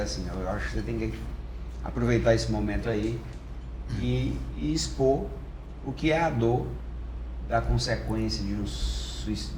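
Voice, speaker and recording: A man's voice: mid-range voice, echoey room, a little hiss or hum, low-quality recording. A Brazilian man hosting an interview podcast, asking long questions that he keeps rephrasing. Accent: Brazilian Portuguese. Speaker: podcast host.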